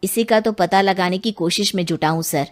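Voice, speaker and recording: A dutiful cartoon police inspector , played by a woman, speaks at an even middle pitch. He questions people earnestly and politely, steady and respectful throughout. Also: normal speed, close to an everyday voice. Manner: earnest, polite questioning, addressing others as sir. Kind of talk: Hindi cartoon voice acting.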